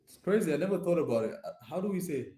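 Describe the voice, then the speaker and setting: A man's voice: a little high, lively intonation, very fast, mellow. A man from Nigeria with a Nigerian accent chats casually and informally, addressing live viewers directly in slangy speech. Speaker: live streamer. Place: echoey room indoors. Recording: echoey room.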